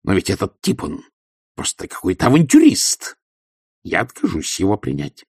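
A man voicing an imperious, scornful aristocratic old baroness who scolds in a haughty, sharp manner. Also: on the low side, wildly swooping pitch, normal speed, gravelly, breathy edge, dry recording, clean studio sound, heavily acted. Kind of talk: audiobook character voice.

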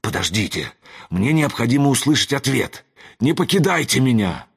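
A man's voice: sits low, lively intonation, normal speed, fairly bright tone, slightly rough, heavily acted. A man playing a great noble wizard, anxious and burdened, speaking in an earnest, dramatic manner in a fantasy audiobook. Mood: pleading.

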